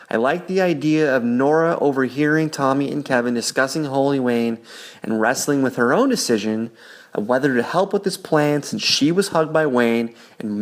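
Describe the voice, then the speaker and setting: A man leaves a voicemail for the hosts, reading his prediction in a measured, steady way. His delivery sounds prepared and methodical. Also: mid-range voice. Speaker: listener leaving a voicemail. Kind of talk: read-out voicemail.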